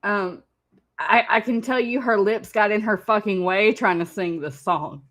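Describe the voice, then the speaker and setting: A woman host mocks a clip in relaxed, casual commentary at an unhurried pace. She teases constantly and laughs often. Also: medium pitch. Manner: teasing, mocking, laughs a lot.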